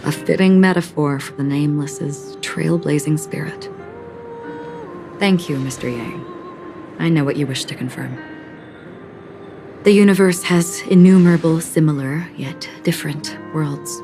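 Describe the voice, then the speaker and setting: A woman playing a melancholic lone wanderer in a video game, speaking in a measured, grave, reflective way with weighty pauses. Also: low-pitched, over music. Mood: calm, melancholic. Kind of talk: video game cutscene voice acting.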